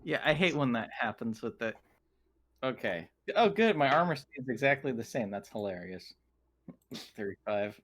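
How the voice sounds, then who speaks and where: Man, medium pitch, casual tabletop player, conversational delivery. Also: lively intonation, normal speed, a bit bright. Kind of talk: casual game chat.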